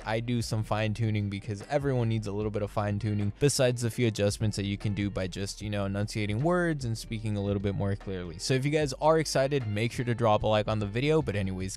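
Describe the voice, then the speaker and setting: A man's voice: on the low side, a little mellow. A young man in a small home studio, chatting upbeat and direct to viewers while walking them through audio editing on a close podcast microphone. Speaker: YouTuber teaching audio editing. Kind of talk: casual tutorial. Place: small dim bedroom studio. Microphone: podcast mic on boom arm, close.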